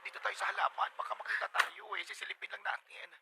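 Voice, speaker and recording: An actor with a Filipino accent playing an excitable, nosy best friend in a Tagalog radio drama. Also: mid-range voice, fast, creaky, background noise, lightly acted. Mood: excited, nosy.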